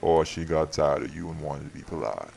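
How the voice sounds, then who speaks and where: A man playing a weary, wry, protective vampire city leader in an audio roleplay, speaking in a measured, dry, teasing way. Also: very deep voice, creaky, darkish tone, full character voice. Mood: wry, fond. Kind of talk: audio roleplay drama acting.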